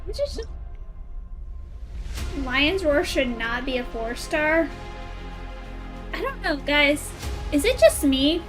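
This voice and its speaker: Female game streamer, high voice, informal and chatty, thinks aloud to viewers, faint music underneath. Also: lively intonation, takes it slowly, pauses often. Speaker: game livestreamer. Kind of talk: casual livestream chat with viewers while playing.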